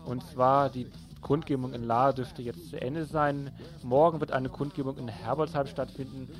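A man's voice: medium pitch, steady tone, moderate pace. Informal male radio presenter over music. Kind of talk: reading event listings on local radio. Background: music playing underneath.

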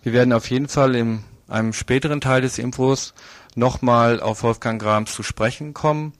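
A man presents a live radio news magazine. He has a medium-pitched voice and speaks partly from a script, partly freely. The sound is close and dry. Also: fairly even intonation, normal speed, no echo.

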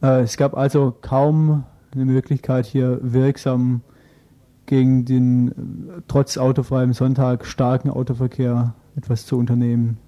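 Man, interviewee, very dark relaxed tone, flat intonation, hesitant, spontaneous. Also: mid-range voice, a little slow. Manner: hesitant, searching for words. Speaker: interviewee, local activist.

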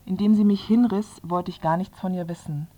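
Mellow-voiced woman reading literary prose. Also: fairly low pitch, fairly even intonation, brisk, muffled recording. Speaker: reader of a literary quotation.

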